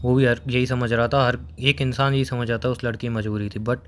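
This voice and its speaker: A young man on a phone call. He has been crying, sounds hoarse, and speaks in a subdued, halting way, slow to open up. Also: mid-range voice, little pitch movement. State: tearful, hoarse. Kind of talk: emotional phone conversation.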